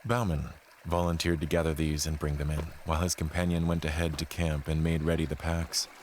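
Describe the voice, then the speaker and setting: A man gives a measured, solemn, literary narration of a scripted horror tale. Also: extremely low pitch, level tone, a little mellow, slight background noise. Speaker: storyteller narrating an audio play. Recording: music behind the voice. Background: background music.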